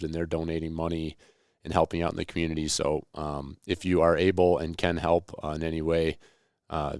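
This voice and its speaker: A man with a hoarse voice who is getting over the flu, talking casually and plainly as the host of a podcast. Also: rumbling low, darkish tone, dry recording, clean studio sound. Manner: folksy, plain-spoken. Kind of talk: solo podcast intro, casual talk. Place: Buffalo, New York area.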